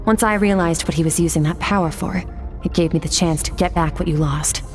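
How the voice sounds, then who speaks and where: An actress plays a cool, otherworldly swordswoman from a video game. Her voice is on the low side, and she speaks in a detached, condescending, matter-of-fact way over faint music. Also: a little fast, natural voice. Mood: cool, explanatory. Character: aloof, battle-hardened otherworldly swordswoman, cool and blunt.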